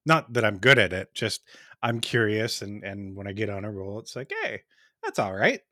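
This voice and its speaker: A man hosting a podcast in casual chat, with a smooth voice and a rambling, laughing way of talking. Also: on the low side, animated, moderate pace, bright, forceful tone, no echo, clean studio sound. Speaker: podcast host.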